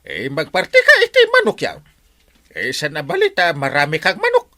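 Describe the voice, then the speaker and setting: A man's voice: a little high, wildly swooping pitch, moderate pace, sharp tone, exaggerated voice. A man playing a boisterous, comical village captain in an Ilocano radio comedy, with stammering, exclamations and cackles. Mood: playful, scheming. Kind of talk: comedic radio drama acting.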